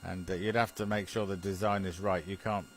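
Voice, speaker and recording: A man's voice: sits low, little pitch movement, brisk. A British man, a radio hobbyist, chatting casually about technical matters in a hesitant, chuckling way and often repeating his phrases. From Britain. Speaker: amateur radio enthusiast.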